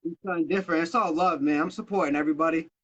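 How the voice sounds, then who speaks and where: A man's voice: on the high side, steady tone, very fast, fairly bright tone, lo-fi sound. A man in his thirties from Massachusetts, a rapper talking loosely and casually in an interview, swearing freely. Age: 30s. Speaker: rapper guest.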